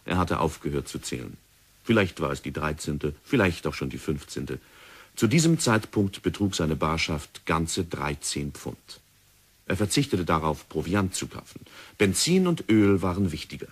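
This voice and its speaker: Deep husky narrator, man, measured scripted reading. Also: very animated. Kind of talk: scripted radio drama narration.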